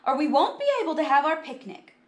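A woman voicing a brisk, pleasant female schoolteacher in a storybook, announcing to her class. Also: high-pitched, lively intonation, roomy sound, voice pushed up.

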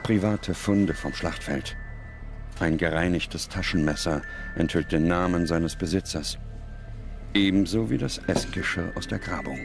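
A man reading scripted documentary narration in a solemn, measured manner with dramatic pauses. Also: low-pitched, vocal fry. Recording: loud music under the voice. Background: music.

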